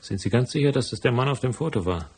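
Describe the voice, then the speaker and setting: A man playing a hard-boiled private detective in a noir radio drama, with a gravelly, husky voice and a dry, laconic delivery. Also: mid-range voice, lively intonation, fast talker. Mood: sceptical, pressing. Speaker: first-person narrator and lead character.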